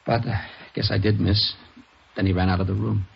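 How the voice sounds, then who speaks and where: A man's voice: on the low side, little pitch movement, brisk, creaky, husky, full character voice. A man acting in an old radio crime drama as a shaken husband giving his account to police. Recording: dull old radio recording.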